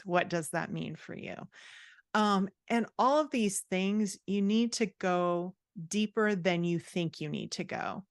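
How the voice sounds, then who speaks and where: A middle-aged woman with a slightly low voice, recorded close and dry. She talks candidly and emphatically, explaining and advising like a coach in a relaxed podcast interview. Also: dry recording. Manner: candid, emphatic, self-described sassy. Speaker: podcast guest, author and writing coach.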